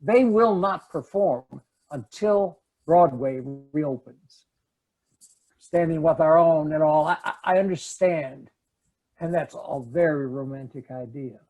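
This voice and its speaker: Casting: actor as sincere male skeptic in farce, slightly high voice, very measured pace. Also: darkish tone, rough recording. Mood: earnest. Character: earnest, doubtful male theater board member. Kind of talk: farcical comedy acting.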